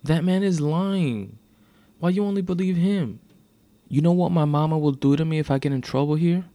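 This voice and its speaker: A man's voice: medium pitch, animated, a little slow, vocal fry, warm tone, dry recording, pitched above the performer's own voice. A man voicing a defiant, upset sixteen-year-old girl with slangy, indignant speech in a narrated story. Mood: upset, pleading.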